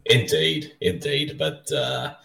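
A man's voice: mid-range voice, big pitch swings, takes it slowly, vocal fry, a bit bright. A man with an Australian accent hosting a podcast in casual, jokey chat, laughing often. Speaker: podcast host. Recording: roomy sound from a distant mic.

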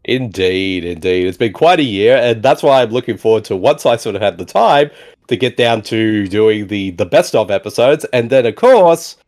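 A man hosting a casual movie commentary podcast, animated, often answering with a repeated "exactly" or "indeed". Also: fairly low pitch, moderate pace. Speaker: podcast host.

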